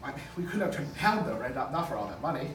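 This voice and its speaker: A man plays a flippant young-firm lawyer who is anxious and easily rattled. His pitch moves around a lot, and the table read sounds echoey and far from the mic. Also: very animated, racing, natural voice. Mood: panicked. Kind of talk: amateur script table read. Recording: distant, echoey room with faint noise.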